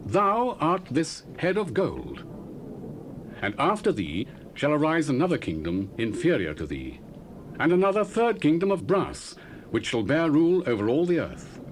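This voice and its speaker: A man's voice: fairly high pitch, huge pitch swings, a little slow, a little hiss or hum. An actor playing a young male prophet, speaking in solemn, formal, old-fashioned declamation in a dramatized scripture reading.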